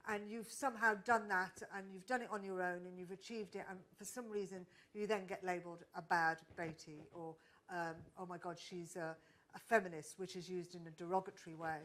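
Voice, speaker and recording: A woman's voice: on the low side, fairly even intonation, a little fast, fairly bright tone. A woman comedian speaking on a live panel, candid and reflective, often restarting her sentences. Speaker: stand-up comedian, panel guest. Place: stage at a live podcast event.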